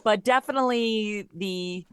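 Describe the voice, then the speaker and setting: A woman's voice: mid-range voice, unhurried, fairly bright tone, clean tone, no echo. A woman speaking as an interview guest, telling stories in a casual, conversational way. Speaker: podcast guest, audio comedy creator.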